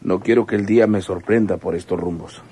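An actor playing a sleepy, beer-drinking man with a husky voice in a radio drama. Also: low voice, steady tone, fairly quick, mellow. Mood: drowsy, sheepish.

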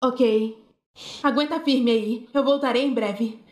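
A woman's voice: fairly high pitch, slow. A woman playing a brave, caring young survivor in a horror game dub, earnest and protective. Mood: concerned, determined. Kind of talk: fan-dubbed horror game acting. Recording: echoey, under loud game music.

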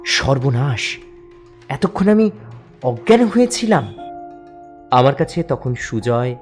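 A man narrating a horror story in the first person, dramatic and suspenseful, halting in tense moments. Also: medium pitch, big pitch swings, a little mellow, soft background music.

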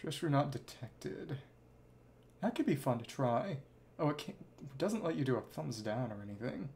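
A man's voice: mid-range voice, animated, moderate pace, vocal fry, darkish tone, slight background noise. A man giving casual livestream commentary on a game, thinking aloud in clipped fragments and reading bits of dialogue. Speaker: livestreamer, virtual avatar host.

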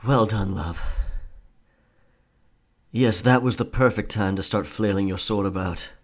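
A man's voice: lowish voice, a little slow. A man playing a cocky, sly pirate rogue in an audio roleplay, with theatrical, taunting and charming delivery. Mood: wry, pleased. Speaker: ASMR roleplay performer. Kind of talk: pirate ASMR roleplay acting.

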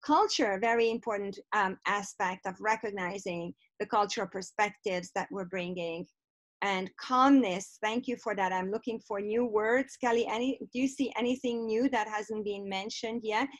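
A woman's voice: mid-range voice, moderate pace, no echo. Hungarian-accented woman, middle-aged, encouraging webinar presenter, dry close sound on a video call. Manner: warm, encouraging, reads out chat replies. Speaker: professor leading a webinar. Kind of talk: online teacher workshop presentation. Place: home office on a video call. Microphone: computer mic on a video call.

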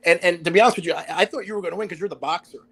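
Man, middle-aged, podcast host, husky, slightly high voice, studio mic. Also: racing, fairly bright tone. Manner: attentive, frequent short affirmations. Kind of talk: interviewer asking questions. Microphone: headset and studio microphone.

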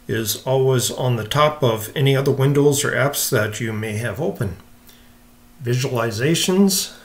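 A man hosting a casual software walkthrough, explaining step by step in an informal, unhurried way. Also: mid-range voice. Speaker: software tutorial host.

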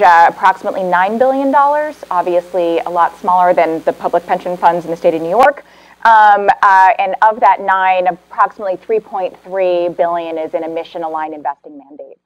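Confident woman in her thirties, low voice. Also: muffled recording. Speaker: investment manager on a panel. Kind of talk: panel self-introduction. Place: conference room panel, indoors.

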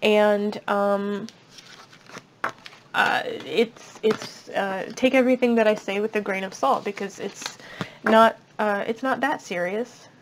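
A young woman giving a casual tarot reading at a table, chatty and reassuring, her voice slightly raspy. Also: mid-range voice, fairly even intonation, takes it slowly, slight background noise. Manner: chatty, reassuring, many fillers. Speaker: tarot reader. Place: indoors at a table.

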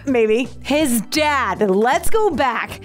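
A woman's voice: high voice, lively intonation, very slow, faint music behind. Joking woman in casual reaction chat. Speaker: reaction video co-host.

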